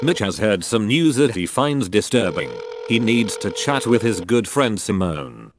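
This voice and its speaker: A man's voice: on the low side, sing-song, measured pace, noisy background. A man narrating a science fiction audio drama from a script, in a dramatic storytelling style. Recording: music under the voice. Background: music.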